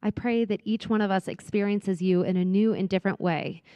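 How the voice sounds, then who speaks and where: A woman speaker at a church who closes a reflective talk and then leads the room in a soft, earnest prayer. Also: mid-range voice, fairly even intonation, brisk, smooth, no room sound. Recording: dull, very dry sound.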